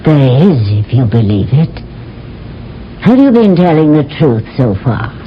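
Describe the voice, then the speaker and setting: Cool, commanding alien queen in an old radio play, slow and stately, dull lo-fi sound. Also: big pitch swings. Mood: imperious. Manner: slow, measured, imperious. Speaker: queen Lura. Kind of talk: 1970s radio drama acting. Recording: dull old radio broadcast with faint rumble.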